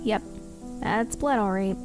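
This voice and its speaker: A woman playing a brisk, sharp-tongued lead police detective in an audio drama, dry and authoritative. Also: medium pitch, faint music behind, close to an everyday voice. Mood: curious.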